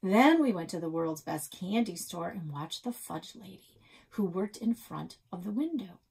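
A woman's voice: on the low side, lively intonation, moderate pace, creaky, darkish tone, husky. A middle-aged woman reading a children's novel aloud as a storyteller, recorded in a small office at about arm's length.

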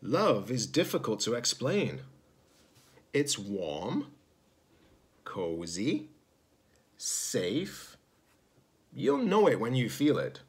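Man voicing an old woman, storybook character, kindly, slow, measured, gentle. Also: mid-range voice, wildly swooping pitch, exaggerated voice.

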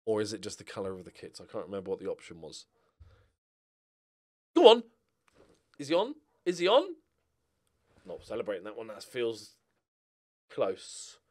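A man's voice: fairly low pitch, huge pitch swings, moderate pace, long pauses, a little mellow. A middle-aged man giving casual, rambling commentary on a game, with a wry, self-mocking, jokey manner. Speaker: gaming video host.

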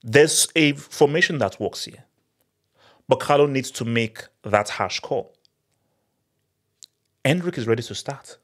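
A man in his thirties talks straight into a studio mic on a boom arm, breaking down a match as a football channel host. His pitch leaps up for emphasis and he keeps hammering points home by repeating words. It sounds like a small home studio. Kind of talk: post-match analysis monologue.

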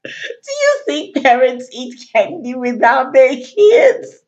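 A woman's voice: high voice, very animated, slow pace, a little mellow, highs cut off, voice pushed up, exaggerated voice. Woman putting on a dramatic, suspicious storybook child. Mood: wailing dismay. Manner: dramatic, wondering, exaggerated. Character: curious, suspicious young child questioning parents. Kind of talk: picture-book character read aloud.

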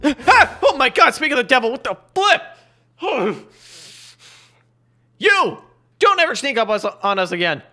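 Man playing an excitable, bossy schoolboy, wildly swooping pitch. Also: brisk, exaggerated voice. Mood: startled, annoyed. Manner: dramatic, stammering.

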